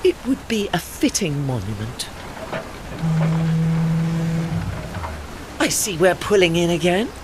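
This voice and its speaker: A woman acts a grand old female warship in a radio play. She is gentle and wistful, choosing her words with formal care, and her pitch moves expressively over a clearly noisy backdrop. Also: sing-song. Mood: gently encouraging. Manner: measured, articulate, formal. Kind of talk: audio drama acting.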